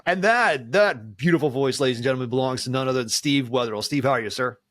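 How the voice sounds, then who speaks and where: A man's voice: mid-range voice, fast talker, a bit bright, no echo. Chuckling male podcast host, close dry sound. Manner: chuckles often, swears casually. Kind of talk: casual jokey banter, introducing players.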